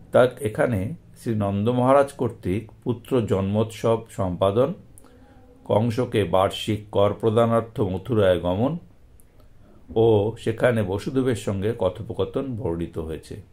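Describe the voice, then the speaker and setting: A man giving a scripted devotional reading of scripture, with deliberate, measured phrasing broken by short pauses. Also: fairly low pitch, dark tone. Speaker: scripture reader and presenter.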